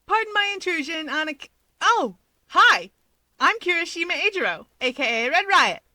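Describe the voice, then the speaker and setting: A woman voicing a friendly, polite and excitable teenage boy hero in a story reading. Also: very high-pitched, normal speed, no echo, voice pushed up, full character voice. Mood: polite, cheerful. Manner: eager, polite.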